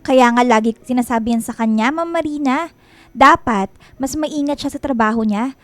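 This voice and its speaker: Woman doing a catty filipino office lady, really high voice, always blaming, radio drama style. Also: moderate pace, fairly bright tone, no room sound, close to an everyday voice. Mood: snide, accusing. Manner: needling, accusatory. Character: snide, fault-finding female office superior.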